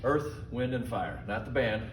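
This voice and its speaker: A man giving informal safety training instructions in a deliberate, simple, step-by-step manner. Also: medium pitch. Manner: slow, deliberate, simple phrasing. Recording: echoey, distant mic, noisy room.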